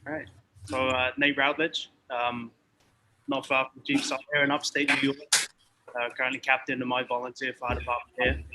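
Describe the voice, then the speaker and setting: A man's voice: mid-range voice, flat delivery, normal speed, pushed and bright, a little hiss or hum, low-quality recording. A man with an English accent, chatting casually as a guest on a live show over a video call. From England. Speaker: volunteer fire captain and guest. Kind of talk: casual podcast chat.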